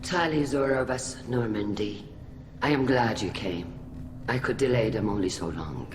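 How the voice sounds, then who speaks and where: A woman playing a solemn, caring middle-aged alien admiral in a video game, with a very deep husky voice, formal measured speech and a light helmet filter. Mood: warm, grave. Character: older female alien admiral, solemn, caring family friend. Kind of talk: video game cutscene acting. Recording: echoey hall, noisy ambience behind.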